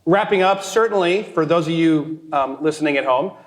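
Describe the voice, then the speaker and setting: A man's voice: on the high side, moderate pace, crowd noise, noisy background. A man hosting a panel show in a casual, chatty style. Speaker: show host.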